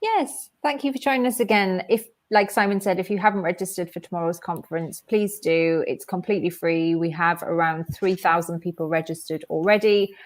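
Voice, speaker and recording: A woman's voice: fairly low pitch, brisk, few pauses, no echo. A woman from the northeast of England, co-hosting a webinar in a friendly, encouraging way. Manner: friendly, encouraging, laughs easily. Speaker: co-host of webinar. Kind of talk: casual webinar presenting.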